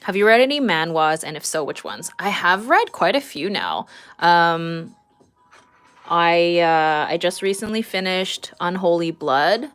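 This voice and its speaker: A woman's voice: on the low side, expressive, unhurried, a bit bright, clean tone. A woman in her thirties chatting casually and informally while livestreaming her drawing, with a giggly manner. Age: 30s. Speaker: livestreaming artist reading and answering viewer chat.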